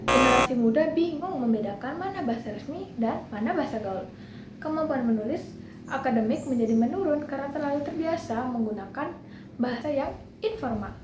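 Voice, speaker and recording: A young woman presenting a class project, reading through lists of points with small slips and restarts. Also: fairly high pitch. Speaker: student presenter. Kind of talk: class presentation explaining a topic. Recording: echoey room with clear background noise.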